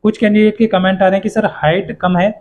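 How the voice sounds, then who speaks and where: A man's voice: sits high, fairly even intonation, quick pace, darkish tone. A man giving an informal explainer to exam candidates, instructive and reassuring, often repeating his points. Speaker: exam update presenter.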